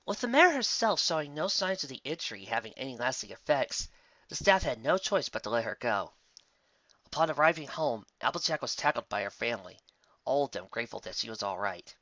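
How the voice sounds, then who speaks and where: A man reading scripted story narration as an amateur, expressive and occasionally stumbling over the text. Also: mid-range voice, brisk, dry recording, dull audio.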